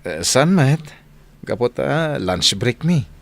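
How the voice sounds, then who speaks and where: A man's voice: mid-range voice, very animated, takes it slowly. An actor playing a patient, earnest young working man in a radio drama, with a Northern Philippine Ilocano accent. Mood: friendly. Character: hardworking, patient young man Saldy, away from his sweetheart.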